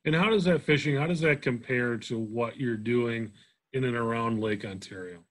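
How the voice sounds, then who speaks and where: A man's voice: mid-range voice, normal speed, fairly bright tone. A man hosting a podcast, asking his guest friendly, open questions. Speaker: podcast host. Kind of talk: interviewing a guest.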